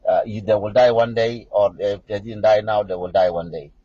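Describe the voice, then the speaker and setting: A middle-aged South Sudanese man talks back at viewer comments in a husky, low voice. He is combative and repetitive and keeps hammering the same point. The sound is dull and lo-fi, and the room is dry. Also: fairly even intonation, normal speed, warm tone, dry recording. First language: Nuer. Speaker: livestream commentator. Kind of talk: livestream talk answering viewer comments.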